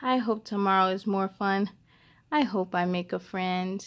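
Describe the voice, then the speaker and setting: A woman's voice: medium pitch, unhurried, clean tone, pitched below the performer's own voice, natural voice. A woman reading as a shy, earnest young schoolgirl in a storybook, confiding in simple phrases. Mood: nervous, sad. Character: shy, nervous, earnest schoolgirl narrating her storybook life.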